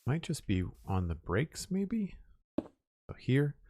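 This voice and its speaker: A man's voice: mid-range voice, sing-song, takes it slowly, darkish tone, a little hiss or hum. A young man from Nova Scotia with a Canadian Maritime accent, chatting casually to his audience while playing, rambling and counting aloud. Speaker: streamer. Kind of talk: casual gameplay livestream chat.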